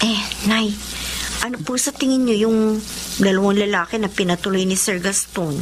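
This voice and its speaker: A woman's voice: mid-range voice, fairly even intonation, moderate pace, close to an everyday voice. A woman playing a respectful, wary young daughter and maid in a radio drama, earnest and polite. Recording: clear hissy, sizzling background noise.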